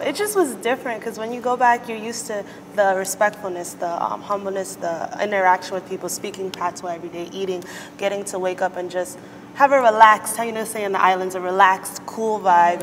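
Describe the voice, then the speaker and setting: A young woman from the United States answering interview questions in a relaxed way, often pausing with "um". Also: mid-range voice, long reverb tail, slight background noise, poor audio. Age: young adult. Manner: relaxed, filling pauses with "um". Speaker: student guest. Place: indoor studio set. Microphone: lapel mic.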